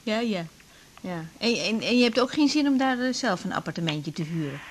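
An actress playing a brisk, protective young woman doctor in a radio drama, direct and teasing. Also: mid-range voice, animated, a little hiss or hum, natural voice. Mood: firm, caring. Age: young adult. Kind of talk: 1980s Dutch radio drama acting.

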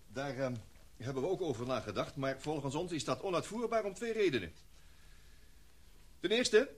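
A serious professor, voiced by a man, works through his reasoning one point at a time in a radio drama. His voice is husky and fairly high for a man. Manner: methodical, lays out reasons point by point. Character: male scientist professor, methodical and serious. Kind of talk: radio play acting.

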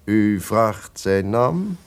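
An actor playing a cunning, distrustful lord who feigns friendship, formal and insinuating in a gothic radio drama. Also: male voice, lowish voice, very animated, drawn-out words, smooth, full character voice. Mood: wary.

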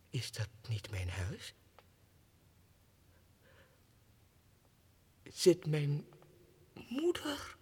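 A man's voice: fairly high pitch, slightly rough, full character voice. A tormented madman in echoing reverb. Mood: hushed, dreamy. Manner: theatrical, swinging from hushed whispers to anguished cries. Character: tormented madman clerk, imagines himself king, desperate and childlike. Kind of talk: 1960s radio drama monologue. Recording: reverberant hall, 1960s radio production.

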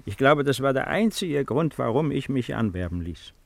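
A man's voice: medium pitch, sing-song, normal speed, gravelly, no echo. An older man playing a brooding, wistful old emperor in a 1950s radio drama, speaking in a reflective, musing way. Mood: wistful, bitter.

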